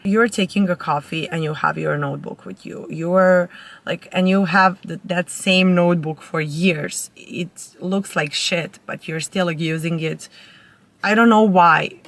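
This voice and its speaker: A woman's voice: fairly low pitch, fairly even intonation, unhurried, creaky, a bit bright. A young woman, a native Serbian speaker with a Serbian accent, chatting casually to camera in an informal, rambling way as a vlogger. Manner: informal, rambling with self-corrections.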